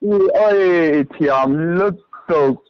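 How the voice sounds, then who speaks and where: A man's voice: slow pace, slight background noise. A man talking casually as a caller on a prank phone call. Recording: dull, lo-fi phone call audio.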